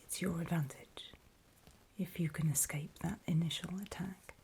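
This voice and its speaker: A woman playing a wary, battle-worn survivor of a zombie apocalypse, speaking in a breathy, deliberate whisper in an ASMR horror roleplay. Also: on the low side, fairly even intonation, a little hiss or hum. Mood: wary, instructive. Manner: hushed, deliberate, halting storytelling with pauses.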